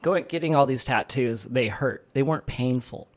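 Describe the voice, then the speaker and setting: A man's voice: medium pitch, normal speed. A man talking as a podcast guest, earnest and searching for the right words. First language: English. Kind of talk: casual chat.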